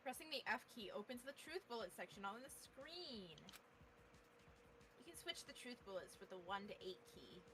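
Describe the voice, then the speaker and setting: A woman's voice: fairly high pitch, big pitch swings, moderate pace, noisy background. A woman on a casual game stream, giving instructive tips to a player. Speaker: co-commentator guiding a player. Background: clear game music.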